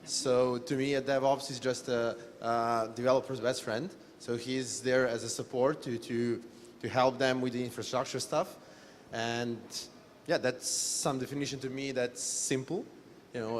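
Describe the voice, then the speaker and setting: A man's voice: medium pitch, normal speed, slight background noise. Man telling a story. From Serbia. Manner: relaxed storytelling. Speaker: panelist. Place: conference stage. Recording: reverberant conference hall.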